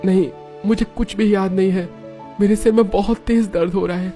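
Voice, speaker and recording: A man's voice: high voice, steady tone, moderate pace, dark tone, faint music behind, pitched above the performer's own voice, lightly acted. A man voicing an injured, confused young man with a pained, strained delivery in a dramatized moral story. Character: dazed, injured young man with lost memory.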